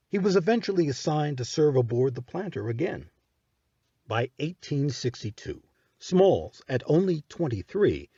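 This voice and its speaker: A man's voice: mid-range voice, dark tone, slightly rough, no echo, highs cut off. A man narrating history in a scripted documentary style. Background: background music.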